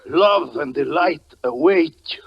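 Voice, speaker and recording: Melodramatic, tormented 1940s radio magician. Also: male voice, a little high, slow, husky, heavily acted. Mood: desperate pleading. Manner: melodramatic, swinging between hushed narration and anguished cries. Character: tormented stage magician husband, haunted and fervent, recounting his story. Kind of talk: 1940s radio suspense drama acting. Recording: muffled vintage 1940s radio recording.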